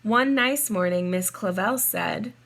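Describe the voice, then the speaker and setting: A woman reading a children's storybook aloud in a measured, deliberate and expressive way, drawing out words. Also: mid-range voice. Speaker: children's storybook reader, teacher. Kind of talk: read-aloud storybook narration for young children.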